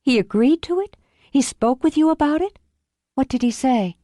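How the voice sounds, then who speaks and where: A woman voicing a proud, defensive young nature guide in an audiobook, sharp and quick to flare. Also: fairly high pitch, dry recording, clean studio sound, voice pushed up, lightly acted. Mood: alarmed. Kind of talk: audiobook character reading.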